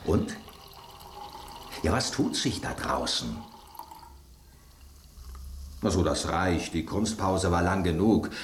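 An actor playing an inquisitive, brusque piece of bread in a German radio drama. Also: male voice, low voice, expressive, a little slow, roomy sound, a little hiss or hum. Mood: impatient. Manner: inquisitive, brusque, rhetorical questioning. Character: bread on a restaurant table, gruff gossipy male detective type.

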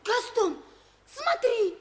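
Woman voicing a jittery young boy, very high. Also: extremely slow, slight background noise, full character voice. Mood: nervous. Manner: anxious, whispering at times, excitable. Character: timid, excitable young boy sidekick. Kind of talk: 1950s radio play acting.

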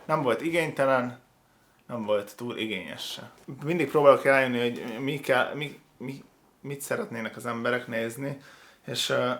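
A man hosts a casual reaction show with a medium-pitched voice. He comments with clear opinions in a halting flow, often stopping to rephrase himself. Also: fairly bright tone. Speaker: host of a video reaction show.

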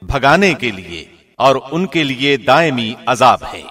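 Man reading scripture with lively intonation. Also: mid-range voice, soft background music. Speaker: translation reader, radio presenter.

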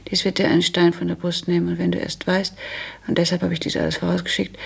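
Earnest widowed daughter, very gravelly and husky, measured, german radio drama. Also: female voice, fairly low pitch, full character voice. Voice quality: husky, creaky. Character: dutiful, matter-of-fact widowed daughter in old Berlin. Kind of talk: German radio play acting, letter monologue.

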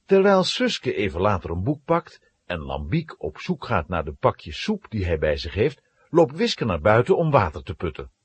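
A man's voice: medium pitch, a little mellow, slightly rough, no room sound. A man narrating a children's audio drama as a dramatic storyteller.

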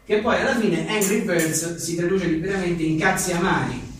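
A man's voice: high voice, normal speed. A man reading humorous one-liners aloud with casual asides, in a wry, joking manner. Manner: wry, joking, often chuckling. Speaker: writer and journalist presenting his own book. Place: live book presentation in a reverberant room. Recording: echoey room, distant mic, faint noise.

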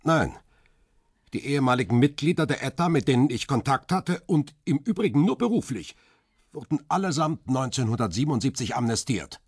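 An actor playing a wary jailed paparazzo who narrates his own radio crime drama in a husky voice. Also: medium pitch, lively intonation, brisk, no room sound, clean studio sound. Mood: defiant, urgent.

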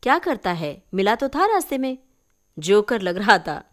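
A woman voicing a curious, mocking young woman in a story, expressive and wry. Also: medium pitch, normal speed.

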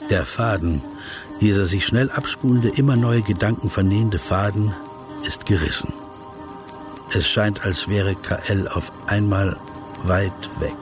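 Male actor, lower register: restrained, observant interviewer-narrator for radio drama, measured delivery, music clearly underneath. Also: close to an everyday voice. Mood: hushed, observant. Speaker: interviewer and first-person narrator. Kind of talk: radio play narration and short questions.

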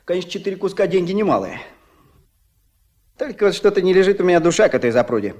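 An actor playing a proud, blunt itinerant builder in a radio play, speaking colloquially and with self-assurance. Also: male voice, sits high, sing-song, fast, darkish tone. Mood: wistful, stubborn. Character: proud, stubborn, plain-spoken itinerant dam builder, working man. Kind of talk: Soviet radio play acting.

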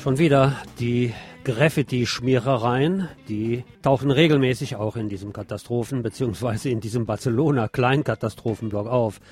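A man's voice: medium pitch, a little mellow, breathy edge. A man presenting a scripted radio news report, adding the odd personal aside.

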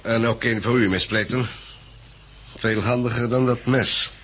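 An actor playing a brisk, businesslike man in charge of survivors, in a 1960s Dutch radio drama. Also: mid-range voice, steady tone, a bit bright, slight background noise, lightly acted. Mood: brisk, practical. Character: brisk male organiser handing out orders to survivors.

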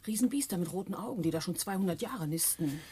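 A woman's voice: lowish voice, expressive, fast, close to an everyday voice. An actress playing a squeamish but game female sleuthing companion in a German radio drama. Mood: uneasy, playful. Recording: noisy 1980s radio drama recording.

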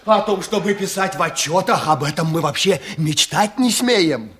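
High voice, nervous rural foreman, sarcastic, complaining, agitated, 1970s radio drama. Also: expressive, moderate pace, fairly bright tone. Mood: mocking exasperation. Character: nervous, thin village foreman, aggrieved nephew. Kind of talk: 1970s Soviet radio play acting.

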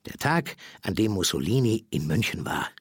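An actor playing a genial, self-assured middle-aged Bavarian man, recalling events in homely detail in a radio play, with a husky, gravelly voice. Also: lowish voice, very animated, normal speed, no echo, clean studio sound, full character voice. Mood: fond reminiscing. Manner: chatty, detailed, homely storytelling. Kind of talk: German radio play, first-person recollection.

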